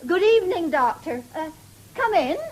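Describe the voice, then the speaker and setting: A woman's voice: very high-pitched, expressive, moderate pace. An actress with an English accent playing a firm but loving country mother in a radio drama. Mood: polite. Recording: faintly hissy 1970s radio recording.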